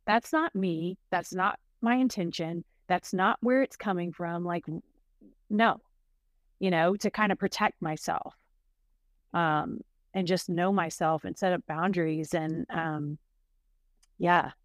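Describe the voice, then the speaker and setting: This is a woman on a casual podcast, speaking in a somewhat low voice. She sounds reflective and gets passionate as she talks things through. The recording is close and dry, with no room sound. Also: unhurried, no room sound. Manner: reflective, warm agreement, passionate. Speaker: podcast co-host.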